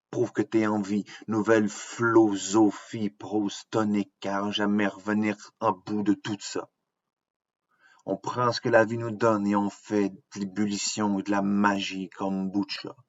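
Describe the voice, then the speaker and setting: A man with a Quebec French accent performs rhythmic slam poetry. His voice is fairly low and stays on a level pitch. The recording is dull, with little room sound. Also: dry recording. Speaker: slam poet. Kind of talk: rhythmic spoken-word slam poetry recital. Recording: dull, lo-fi, dry close sound.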